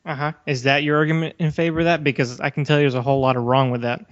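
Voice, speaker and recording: A man's voice: fairly high pitch, flat delivery, rapid-fire. A man co-hosting a casual podcast, talking in a challenging, argumentative debate style. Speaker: podcast co-host. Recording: slightly dull, lo-fi audio.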